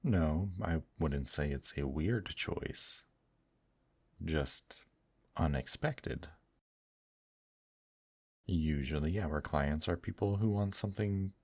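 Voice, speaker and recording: A man voicing a gentle, teasing male demon in an intimate ASMR roleplay, speaking softly and courteously to the listener. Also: rumbling low, dry recording, heavily acted. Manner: slow, soft, courteous, affectionate teasing with endearments. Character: gentle, teasing male demon brothel worker, tender and attentive.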